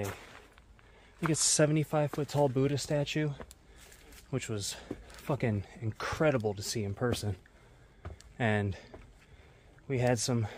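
A man in his thirties talking casually to his head-mounted camera as he hikes a dark mountain trail at night, rambling and swearing freely. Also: medium pitch, slight background noise. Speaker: vlogger. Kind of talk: casual vlog narration while hiking. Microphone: head-mounted action camera.

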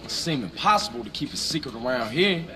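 A man's voice: medium pitch, lively intonation, fast, over music, natural voice. Man playing a blunt, streetwise crew boss. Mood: commanding. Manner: blunt, commanding, casual slang. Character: bold, streetwise young male gangster leading a heist. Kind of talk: video game cutscene acting. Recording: faint background noise.

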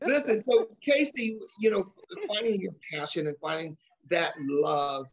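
A man's voice: sits high, steady tone, measured pace, slight background noise, rough recording. A middle-aged man hosting a casual interview show over a video call from home, speaking in an encouraging, advice-giving way. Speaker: talk show host. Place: small room at home, indoors.

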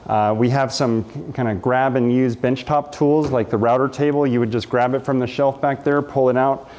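A man's voice: mid-range voice, fairly even intonation, moderate pace, a little mellow. A man in his thirties giving a casual, enthusiastic walking tour of a large workshop, gesturing as he talks with many fillers. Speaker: makerspace director giving a shop tour. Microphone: camera mic, medium distance.

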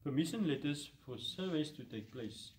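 A man's voice: fairly high pitch, lively intonation, moderate pace, darkish tone. A middle-aged man giving scripted instructions as a science fair presenter, recorded on a distant camera microphone. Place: classroom or lab with display boards, indoors.

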